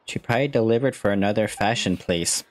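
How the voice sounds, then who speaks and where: A woman's voice: rumbling low, fast talker, a crowd in the background. A woman hosting a gaming video, giving casual, chatty live reactions to the game in brief interjections.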